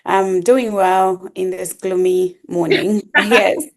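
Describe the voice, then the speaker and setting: A young woman from Zimbabwe, a guest in an interview, telling her story with many pauses and restarts. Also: medium pitch, fairly even intonation. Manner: halting, many ums and restarts. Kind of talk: casual interview conversation.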